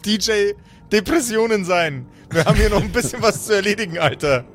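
A man's voice: high-pitched, lively intonation, brisk, a little hiss or hum. A man playing in an improvised tabletop audio play, bantering in casual slang and egging the others on. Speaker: tabletop player.

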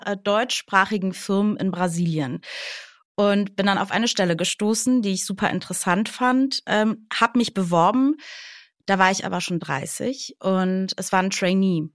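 A woman in her late thirties, co-hosting a podcast in relaxed conversation, with many fillers and easy laughter. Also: mid-range voice, unhurried, no room sound, clean studio sound. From Germany. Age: 30s. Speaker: podcast co-host. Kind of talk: casual chat.

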